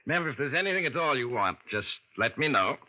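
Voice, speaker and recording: An actor playing a courteous, businesslike middle-aged family attorney in a vintage radio drama. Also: male voice, medium pitch, very animated, fast. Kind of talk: 1940s radio acting. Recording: muffled old radio broadcast.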